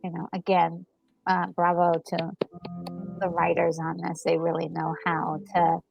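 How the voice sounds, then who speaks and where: A woman gaming co-host talking casually and enthusiastically, thinking aloud in a halting way as she reacts to a game. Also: on the low side, completely flat delivery, vocal fry, lo-fi sound, faint music behind. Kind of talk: casual reaction chat about a video game.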